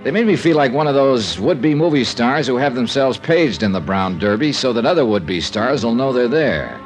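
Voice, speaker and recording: A man's voice: mid-range voice, animated, normal speed, soft background music, close to an everyday voice, poor audio. An actor playing a cocky, wisecracking insurance investigator in a 1950s American radio drama, narrating his case and trading dialogue. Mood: wry narration. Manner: wry, wisecracking. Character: wry, cocky freelance insurance investigator, adult man. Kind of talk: 1950s American radio detective acting, first-person narration.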